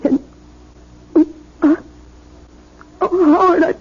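An actress playing a fragile, despairing young wife in a 1970s radio drama, with a breathy voice. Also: female voice, very high-pitched, steady tone, slow. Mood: anguished, despairing. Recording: dull 1970s radio recording.

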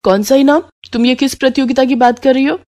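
A woman's voice: high voice, steady tone, fast talker, darkish tone, voice pushed up, close to an everyday voice. A woman voicing a lazy, greedy young daughter-in-law in a cartoon story. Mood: eager.

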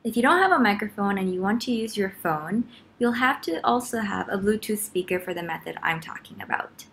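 A woman's voice: medium pitch, animated, fairly quick, creaky, a bit bright. A young adult woman talking casually and informally to camera in a living room, giving a chatty how-to as a vlogger. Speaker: vlogger and teacher. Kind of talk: casual tutorial talk to camera. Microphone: laptop mic at about arm's length.

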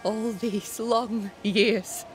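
A woman playing a guilt-ridden, grieving younger sister in a dramatic video game, slow and anguished, with archaic phrasing. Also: on the high side, steady tone, a little hiss or hum. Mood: anguished, bitter.

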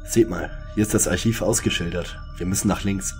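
A man's voice: low voice, steady tone, fairly quick, soft background music. A man playing a practical, eager and wry young detective sidekick in a parody audio drama. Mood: brisk, practical.

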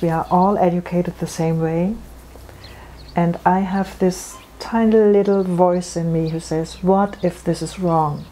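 Middle-aged female practitioner, earnest interviewee, warm low-ish tone. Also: slight background noise, low-quality recording. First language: German. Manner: earnest, explanatory, with frequent restarts. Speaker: natural medicine practitioner. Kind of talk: interview answers. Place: room with bookshelves.

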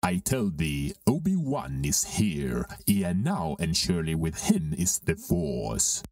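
A vengeful dark lord in a Shakespearean drama reading. The voice is very low and grave, swinging hugely in pitch, and sits a little distant from the microphone. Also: male voice, very animated, takes it slowly, no echo, clean studio sound, heavily acted. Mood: grave, certain. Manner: grave, declamatory.

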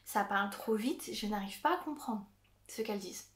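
A woman in her thirties chatting casually to camera as a knitting vlogger, with lots of hesitant filler pauses and easy laughter. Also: mid-range voice. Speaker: knitting podcaster. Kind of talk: casual vlog chat. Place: indoor craft room with yarn shelves. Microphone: camera on a desk, framed at chest. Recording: echoey room.

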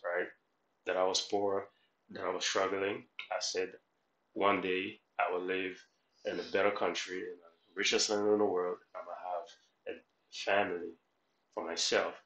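Low-voiced man coaching in a roomy space. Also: little pitch movement, unhurried, a bit bright. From Haiti. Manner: repetitive, instructive, checking with "right?". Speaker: life coach, reaction host. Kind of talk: motivational commentary. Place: small room at home, indoors. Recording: roomy echo.

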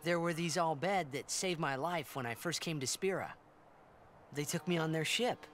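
A man's voice: a little high, normal speed, fairly bright tone, slight background noise, lightly acted. A young man playing an earnest, impulsive adventurer in a video game, boyish and casual. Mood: wistful, curious. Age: young adult.

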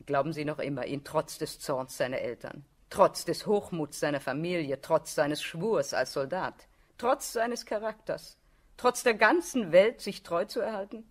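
An actress playing a mature aristocratic countess in a period radio drama, speaking in a measured, formal, condescending way. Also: female voice, sits low, expressive. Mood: pitying, pressing.

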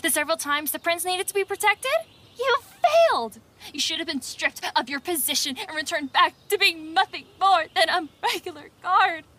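Woman acting a very high-pitched, haughty young woman. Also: moderate pace, pushed and bright. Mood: bitter, scornful. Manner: indignant, accusatory, emphatic. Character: jealous, haughty, hot-tempered young woman fighter. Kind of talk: audio drama acting.

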